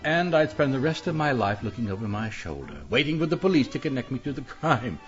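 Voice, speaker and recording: An actor playing a genteel, scheming British publisher in a radio mystery, speaking in an urbane, theatrical, condescending drawl. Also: male voice, mid-range voice, very animated, a little fast, natural voice. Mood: cold resolve. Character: genteel, scheming widowed male publisher, calmly plotting murder. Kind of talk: radio mystery drama acting. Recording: dull band-limited audio with music.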